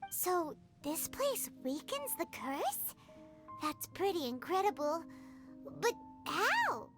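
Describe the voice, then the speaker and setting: A woman voicing a tiny, childlike girl fairy sidekick in a video game, chirpy, naive and excitable, with a squeaky voice. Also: takes it slowly, full character voice. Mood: wondering. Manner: refers to self in third person, exclamatory. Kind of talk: video game character dialogue. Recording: game audio with prominent music. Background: loud game music.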